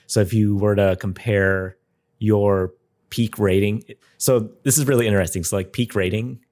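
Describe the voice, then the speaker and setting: A man's voice: on the low side, a little mellow. A man hosting a podcast, talking casually and eagerly with frequent filler words. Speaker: podcast host.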